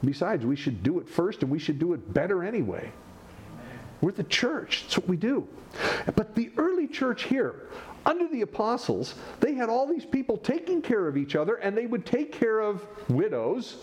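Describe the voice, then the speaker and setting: A man preaching a sermon as pastor, teaching with rhetorical questions and animated swings in his delivery. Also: sits high, normal speed, slight background noise.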